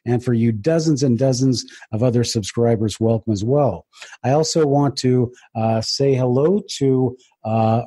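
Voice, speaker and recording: Guy hosting a podcast, soft dark voice, bit husky, really dry close sound, kinda halting. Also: mid-range voice, dry recording. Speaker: podcast host.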